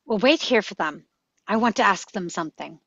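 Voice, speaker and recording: A woman's voice: mid-range voice, lively intonation, a little fast, fairly bright tone, slightly rough. A woman playing a brave, determined boy adventurer in a script table read, recorded with dull, roomy sound. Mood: eager. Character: brave, eager, determined boy hero on dragonback.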